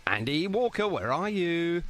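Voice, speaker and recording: A man's voice: a little high, big pitch swings, unhurried, a bit bright, faint music behind. A chatty, jokey man, hosting a casual radio interview with a band. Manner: chatty, jokey, apologetic teasing. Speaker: radio show host.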